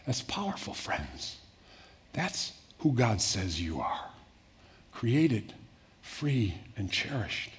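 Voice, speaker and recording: An older man preaching a sermon in an earnest way, rising to emphatic peaks and then dropping soft. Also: lowish voice, very animated, takes it slowly, long pauses, husky. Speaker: pastor.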